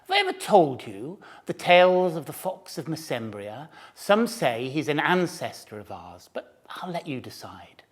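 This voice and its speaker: A man voicing a wise old father fox telling tales to his cubs at bedtime, wry and measured. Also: on the high side, very animated.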